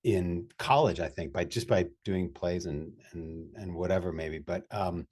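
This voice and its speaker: Wisconsin guy hosting a chat, kinda low voice, upbeat and lively. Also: unhurried, slightly rough. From Wisconsin, USA. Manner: upbeat, animated introductions. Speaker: host. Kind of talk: casual chat.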